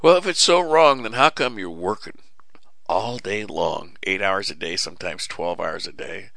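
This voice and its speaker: A man's voice: low-pitched, lively intonation, moderate pace, creaky, bright, forceful tone, no room sound, clean studio sound. A middle-aged man hosting a radio show, giving a folksy, preachy, rambling monologue full of fillers and restarts, his voice starting to give way. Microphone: studio mic with cough button.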